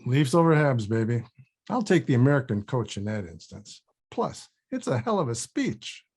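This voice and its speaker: A man's voice: mid-range voice, very animated, normal speed, a little mellow, slightly rough. A man playing a sleepless older actor, wry and friendly, in a casual conversational table read. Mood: upbeat. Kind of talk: casual table read of a comedy-drama script.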